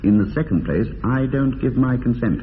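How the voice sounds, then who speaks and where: An actor playing an idle, witty, teasing young upper-class English bachelor in a radio comedy of manners, with arch, polished phrasing. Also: male voice, mid-range voice, animated, normal speed, close to an everyday voice. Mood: airy, insistent. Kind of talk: radio drama acting of period comedy. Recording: tinny band-limited radio, long reverb, hiss.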